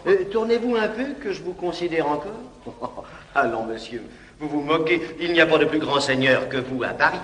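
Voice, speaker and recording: A sly, bossy old-style valet. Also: male voice, fairly high pitch, expressive, moderate pace, a bit bright. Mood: scheming. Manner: wheedling, laughing, insistent. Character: Dubois, scheming former valet, confident and bossy. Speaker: actor in radio play. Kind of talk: classic stage comedy acting.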